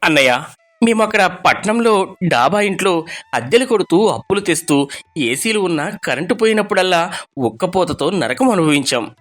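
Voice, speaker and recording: A man's voice: sits high, animated, moderate pace, a bit bright. A man playing a humbled, affectionate younger brother in a family moral story, earnest and emotional. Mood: remorseful.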